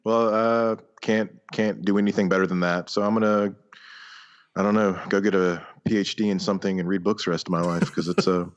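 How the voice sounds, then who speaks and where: A man co-hosting a podcast, chatting casually about video games in a halting, thoughtful, rambling way over slightly dull audio. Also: low voice, fairly even intonation. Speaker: podcast co-host.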